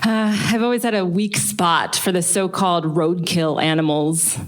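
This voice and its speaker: A woman's voice: lowish voice, fairly even intonation, normal speed, lightly acted. A woman acting a bold, teasing activist in her thirties in a live stage radio play. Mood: earnest. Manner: forthright, teasing. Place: on stage in a live theatre performance.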